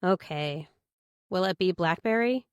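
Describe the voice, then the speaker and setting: A woman's voice: fairly low pitch, lively intonation, measured pace, clear, resonant tone, dry recording, clean studio sound. A woman voicing a wary, reluctant teenage girl in an audiobook, hesitant and questioning. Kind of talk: audiobook character dialogue.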